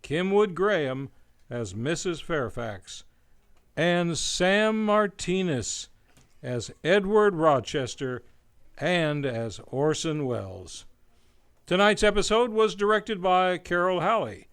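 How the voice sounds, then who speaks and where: A male radio announcer with a very clear, resonant voice pitched somewhat high. He reads scripted credits and notices in a formal, polished way. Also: big pitch swings, takes it slowly, a bit bright.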